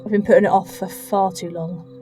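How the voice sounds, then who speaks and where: A woman with a British accent playing a lonely, introspective woman in an audio drama, speaking intimately with deliberate pauses. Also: mid-range voice, steady tone, mellow, soft background music, close to an everyday voice. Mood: exasperated. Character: lonely, introspective woman telling her dark love story. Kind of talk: dramatic first-person audio drama narration and dialogue.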